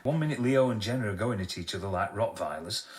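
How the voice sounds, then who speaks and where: A man's voice: lowish voice, expressive, quick pace, close to an everyday voice. An actor playing an eager male colleague who talks in an awkward, hesitant way, in a radio drama. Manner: hesitant and fumbling.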